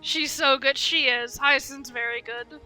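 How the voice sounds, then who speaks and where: Very bright, high-voiced woman game streamer. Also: fairly even intonation, measured pace, rough recording. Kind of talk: live commentary on a story game. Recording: faint game music behind.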